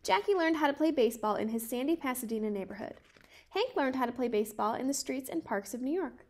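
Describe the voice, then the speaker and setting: A woman in her twenties reading a children's picture book aloud in a careful, measured way. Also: high voice, expressive. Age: 20s. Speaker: storybook reader.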